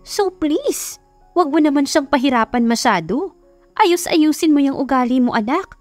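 A woman's voice: high voice, lively intonation, moderate pace, darkish tone, dry recording, soft background music, voice pushed up. A woman voicing an earnest middle-aged mother, firm and emotional as she pleads in an audiobook romance. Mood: pleading, reproachful. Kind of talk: dramatized audiobook romance reading.

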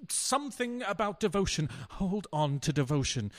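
A man's voice: sits high, very animated, moderate pace. Radio play: philanderer husband, played by a male actor, bumbling, florid, stammering, very varied intonation. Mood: flustered, bewildered. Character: bumbling, self-dramatizing philandering English husband.

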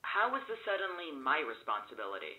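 A man's voice: on the high side, big pitch swings, quick pace, muffled recording. A man playing a blunt, sarcastic, irritable friend in an audio drama. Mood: wary, curt. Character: exasperated, sarcastic but helpful male friend. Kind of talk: naturalistic scripted audio drama dialogue.

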